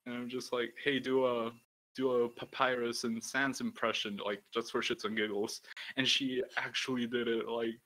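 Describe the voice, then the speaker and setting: A young man with a deep voice and a bright, forceful edge, hosting a casual podcast. He rambles loosely, filling his talk with "like" and the occasional curse. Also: level tone, normal speed, low-quality recording. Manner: rambling, peppered with "like" and swearing. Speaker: podcast host. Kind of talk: casual chat.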